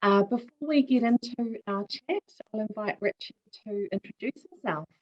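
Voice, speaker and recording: A woman hosting a conversation on a video call, speaking in a measured way with a few ums. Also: mid-range voice, muffled recording. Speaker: host.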